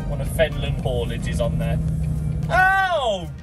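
A man, giving excitable running commentary to a dashboard camera from his truck cab. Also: a little high, a little slow. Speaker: truck driver vlogger. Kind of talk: casual driving vlog commentary. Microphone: camera mounted on dashboard. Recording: loud music over voice.

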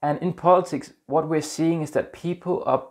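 A man in his thirties from Denmark with a Danish accent, talking earnestly to camera in a small room on a lapel mic, gentle and a little halting. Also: a little high, steady tone. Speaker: spiritual vlogger. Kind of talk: casual talk to camera.

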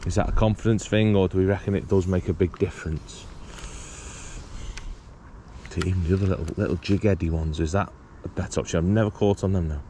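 A man's voice: deep voice, steady tone, brisk, dark tone. A British man chatting casually and informally to viewers as he fishes, often musing aloud in questions. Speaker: angler presenting a fishing video. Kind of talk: casual chat to viewers while fishing. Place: outdoors beside a small pond. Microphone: body-worn action camera. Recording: clear outdoor ambient and traffic noise.

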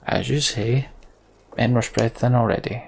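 A man voicing a dutiful middle-aged guard captain in a fantasy game, formal and deliberate. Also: medium pitch, steady tone, creaky, darkish tone, slight background noise. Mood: measured counsel.

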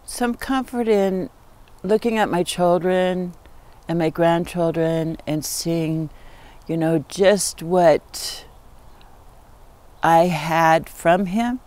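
A woman's voice: on the low side, steady tone, drawn-out words, a little mellow. A woman in her sixties recalling her life in an unscripted, hesitant way, recorded outdoors in a backyard. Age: 60s. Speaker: documentary interviewee. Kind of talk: unscripted personal recollection.

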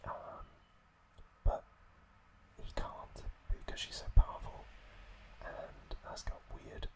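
A man whispering breathily as an ASMR host, recapping episodes in an informal, halting way. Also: low-quality recording. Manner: slow, halting, informal explaining.

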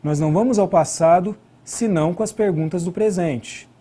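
A man's voice: sits high, normal speed, mellow, husky. A middle-aged Brazilian man giving an online academic lecture as a historian, explaining through rhetorical questions. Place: indoor studio at a desk.

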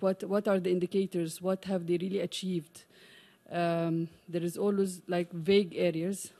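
A middle-aged woman from Egypt speaking from the floor at a conference, with many pauses, fillers and restarts. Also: fairly low pitch, dark tone. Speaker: audience member, parent advocate. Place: large conference room. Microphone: desk microphone.

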